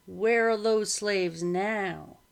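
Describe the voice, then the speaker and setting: A woman's voice: medium pitch, very slow, creaky. A woman voicing an angry, bitter working man in a storybook reading, emphatic and stretching out key words.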